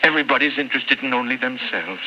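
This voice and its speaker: A man's voice: mid-range voice, natural voice. Muffled, timid little old man acted by a man. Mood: pleading, hesitant. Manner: hesitant, apologetic, halting. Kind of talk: 1950s radio drama acting. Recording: muffled, noisy old radio recording.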